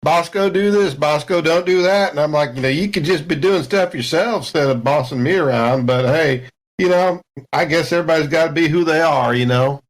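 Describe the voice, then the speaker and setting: A man's voice: a little high, fairly quick, close to an everyday voice. A man voicing a folksy, overconfident spaceship crewman who rambles along with homespun sayings in a tabletop game. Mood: uneasy, grumbling. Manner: drawling, rustic, rambling small talk with homespun sayings. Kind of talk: improvised in-character dialogue in a tabletop game.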